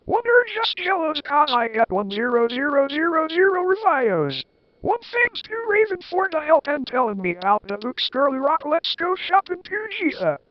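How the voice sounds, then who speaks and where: A man voicing a bratty, snippy teenage girl author in a high, squealing put-on voice. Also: very animated, normal speed, no room sound, lo-fi sound.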